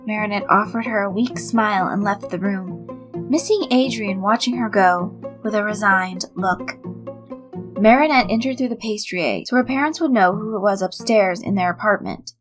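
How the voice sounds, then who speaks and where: A woman reading a scripted story as narrator, in an expressive storytelling style. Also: mid-range voice, poor audio. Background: light background music.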